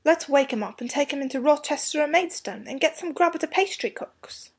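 A woman's voice: high-pitched, expressive, normal speed. A woman playing a hungry, impulsive young schoolboy in a storybook, blunt and eager in manner. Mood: hopeful. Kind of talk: storybook dialogue acting.

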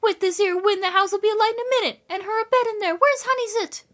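A scatterbrained working woman from rural England. Her voice leaps up very high, breathless and exclaiming, full of grammar and easily tipped into panic. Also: fast, fairly bright tone. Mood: distressed.

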